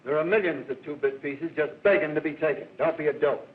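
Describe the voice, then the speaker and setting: A man's voice: on the high side, fast, phone-quality sound, close to an everyday voice. Casting: male actor as cool, menacing racket boss, clipped and slangy, old noisy film soundtrack. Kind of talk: 1930s film acting.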